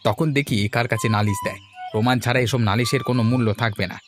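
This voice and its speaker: A man's voice: medium pitch, steady tone, racing, music in the background, natural voice. A man voicing an emphatic, argumentative young village lad who schemes with friends in a cartoon story. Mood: scheming.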